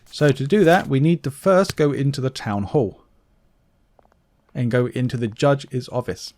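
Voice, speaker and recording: A man's voice: medium pitch, animated, unhurried, darkish tone, no room sound. A man giving a casual video game walkthrough, offhand, often correcting himself and slipping in joking asides. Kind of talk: casual gameplay walkthrough commentary.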